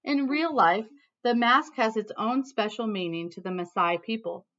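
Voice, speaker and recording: A woman teacher reading a storybook aloud to students in an animated, emphatic way, with casual asides. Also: a little high, moderate pace, dull audio.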